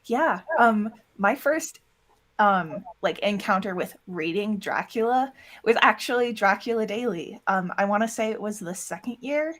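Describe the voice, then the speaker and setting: A woman's voice: mid-range voice, lively intonation, measured pace, a bit bright. A woman chatting casually as an interview guest, talking in a self-deprecating way. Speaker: interview guest, audio drama producer and voice actor.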